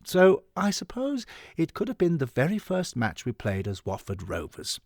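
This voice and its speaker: Swooping, effusive old Victorian gentleman. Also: on the high side, wildly swooping pitch, moderate pace, no room sound, clean studio sound, heavily acted. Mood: flustered, musing. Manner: dithering, old-chum endearments, breathy swoons. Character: effusive elderly Victorian gentleman football club founder, fussy, sentimental. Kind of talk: dramatic audiobook monologue. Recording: music behind the voice.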